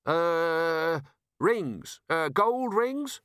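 A man's voice: fairly high pitch, expressive, very slow, fairly bright tone, clear, resonant tone, no room sound, studio quality, pitched above the performer's own voice, full character voice. A man voicing a desperate robber in an audiobook, whining and pleading in a frantic manner. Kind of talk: audiobook character voice.

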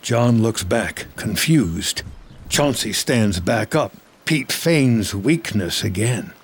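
A man's voice: on the low side, lively intonation, slow, husky. A man giving scripted, present-tense narration of a film story as its narrator.

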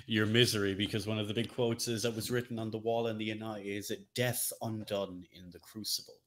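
A man with a fairly low voice chats informally as a podcast co-host. He sounds enthusiastic and likes to slip in teasing asides. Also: flat delivery, fairly quick. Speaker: podcast co-host discussing video games and lore. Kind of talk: casual chat.

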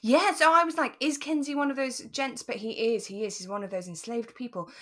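A woman co-hosting a relaxed podcast, recapping a drama in casual chat and retelling it in an animated way, quoting the characters. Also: fairly high pitch, a little fast. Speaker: podcast co-host.

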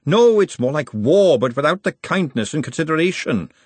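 A man plays a bitter, ironic working-class woman from a storybook. She is blunt and dry, pitched high with lively swings and a husky edge. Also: moderate pace, no room sound. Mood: wry. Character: sour, sardonic working-class woman, blunt but kindly underneath.

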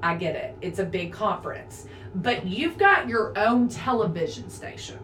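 A woman's voice: medium pitch, sing-song, moderate pace, a bit bright. A woman in her forties talking sports in casual chat, opinionated and emphatic, going on at length. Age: 40s. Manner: opinionated, emphatic, talks in long runs. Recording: roomy echo, a bit far from the mic, faint noise.

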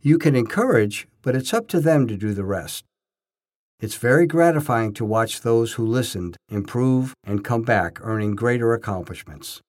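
Man, scripted narration, first-person storyteller, medium-pitched voice. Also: animated, moderate pace, a little mellow. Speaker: narrator, bodybuilding judge.

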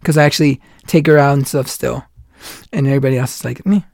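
A man's voice: medium pitch, quick pace, gravelly, darkish tone, dry recording, clean studio sound. Rambling chatty man in his twenties. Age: 20s. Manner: rambling, full of "like" and retold quotes. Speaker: podcast host. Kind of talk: casual chat.

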